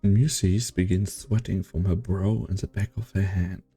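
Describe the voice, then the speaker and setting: A man playing a grave, husky-voiced part and narrating in a fan-dubbed game drama. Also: sits low, fairly even intonation, moderate pace, over music. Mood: grave, steady.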